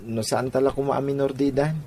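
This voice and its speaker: A man's voice: medium pitch, level tone, brisk, dark tone, slight background noise, close to an everyday voice. A man voicing a teenage boyfriend in an audio drama, stammering and evasive. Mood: sweet. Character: teenage boy Brian, Donna's boyfriend. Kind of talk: amateur audio drama acting.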